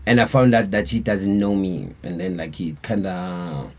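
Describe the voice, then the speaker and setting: A man's voice: deep voice, moderate pace, a little mellow. A South African man, a band member, telling a long story in a laid-back, rambling way with lots of slang fillers. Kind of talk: casual storytelling interview.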